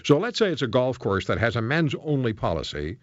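A man's voice: medium pitch, big pitch swings, brisk, no room sound. A man from Canada hosting a live radio interview, probing in a conversational way. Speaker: radio host. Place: radio studio. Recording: slightly muffled, dry radio studio sound.

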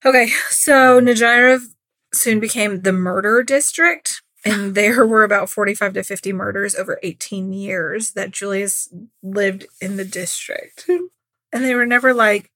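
A woman co-hosts a true crime podcast in a casual, chatty storytelling style. Her voice sits at a middling pitch and is recorded close and dry. She slips into quoted lines as she tells the story. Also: fairly even intonation, a little slow, sharp tone, no echo. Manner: informal, conversational storytelling with acted-out quotes. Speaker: podcast co-host.

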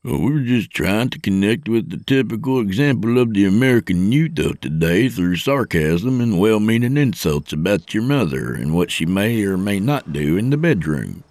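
A man's voice: fairly low pitch, very animated, moderate pace, few pauses, a little mellow, dry recording, pitched above the performer's own voice. Man acting middle-aged male trucker, swooping intonation, sarcastic ribbing, audiobook character. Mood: teasing. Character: teasing male trucker in late forties, wry, dramatic.

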